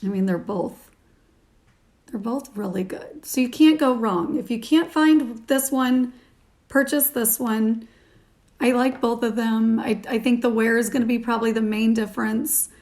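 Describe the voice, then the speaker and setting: A woman in her thirties with a slightly high voice that has some morning rasp in it. She talks informally, as in a home beauty review, chatting along while busy with her hands. It is recorded on a phone at arm's length in a small room. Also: unhurried, a little mellow. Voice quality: raspy morning voice. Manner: chatty, informal, talking while applying makeup. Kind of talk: casual product review to camera.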